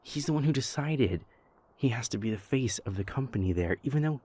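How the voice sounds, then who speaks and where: A man playing an anxious, exasperated young vampire prince in an intimate audio roleplay, speaking in a halting, stammering way. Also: medium pitch, expressive, darkish tone, husky. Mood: exasperated, baffled. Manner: halting, stammering, venting, sighing pauses.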